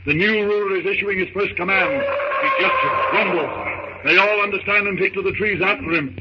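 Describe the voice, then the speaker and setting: High-pitched dramatic old-time radio narrator, man. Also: normal speed. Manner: dramatic, suspenseful, declamatory. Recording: muffled, very noisy old radio recording.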